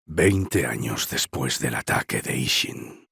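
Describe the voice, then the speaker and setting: A man playing a solemn narrator of a feudal war legend in a video game, husky and gravelly. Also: extremely low pitch, expressive, slow pace, sharp tone, dry recording, clean studio sound, exaggerated voice. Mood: grave, ominous. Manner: solemn, portentous. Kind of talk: dramatic scripted game narration. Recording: music under the voice.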